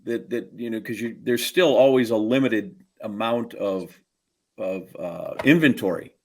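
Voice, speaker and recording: A man's voice: lowish voice, unhurried. A man co-hosting a casual sports conversation, telling stories in a rambling, digressive way. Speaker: co-host, sports broadcaster.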